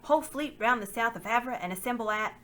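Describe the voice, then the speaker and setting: A woman's voice: a little high, fast talker, bright, forceful tone, slight background noise, pitched above the performer's own voice. A woman reading the part of a grown man captaining a ship, asking eager questions.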